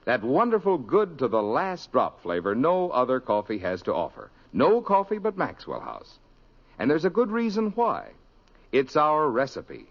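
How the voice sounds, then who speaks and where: A male announcer reads a sponsor pitch in classic old-time radio style. His mid-pitched voice swells and drops emphatically, on a dull vintage recording.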